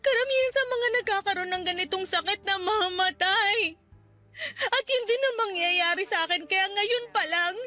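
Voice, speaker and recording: A woman voicing a gravely ill young woman who tearfully pushes her partner away in a radio drama. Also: very high-pitched, moderate pace, sharp tone, soft background music. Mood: sobbing anguish.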